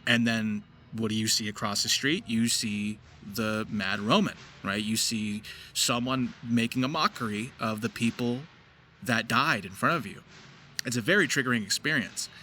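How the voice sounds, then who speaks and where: A man's voice: mid-range voice, moderate pace, pushed and bright, a little hiss or hum. A man speaking as a voice actor, giving casual explanatory commentary on his craft. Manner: slips into gritty character demonstrations. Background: music behind the voice.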